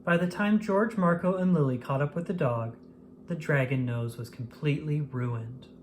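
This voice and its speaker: A woman narrating a children's picture book aloud in an expressive manner, paced for young listeners. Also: sits low, moderate pace, a little hiss or hum. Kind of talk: scripted storybook narration.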